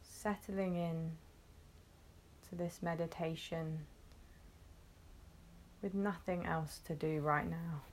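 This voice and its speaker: A woman guiding a meditation, gently instructing in short phrases with long, unhurried pauses. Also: lowish voice, fairly even intonation, a little hiss or hum. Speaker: meditation guide. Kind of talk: guided meditation, soothing instruction.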